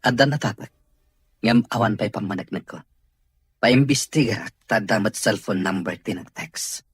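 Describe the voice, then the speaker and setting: A man's voice: lowish voice, normal speed, slightly rough, no room sound. A man with a Filipino accent playing a firm, protective, authoritative boss of a mansion in an audio drama. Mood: resolute. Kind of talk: Ilocano audio drama acting.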